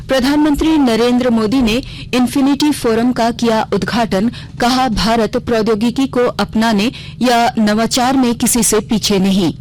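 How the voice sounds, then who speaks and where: A woman's voice: on the high side, darkish tone. A woman reading a formal scripted radio news bulletin as the anchor. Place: radio studio. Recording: clearly noisy regional radio broadcast.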